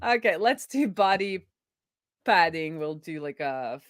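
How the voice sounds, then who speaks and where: A woman's voice: lowish voice, expressive, measured pace. A woman thinking aloud in a chatty, casual way as she live-streams a coding session. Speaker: streamer and web developer.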